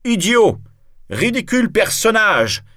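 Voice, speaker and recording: A man's voice: high-pitched, very animated, sharp tone, no echo, clean studio sound, voice pushed up, exaggerated voice. A man voicing an aggressive, dim, hot-headed nobleman in a combative storybook manner. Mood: furious. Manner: halting, combative.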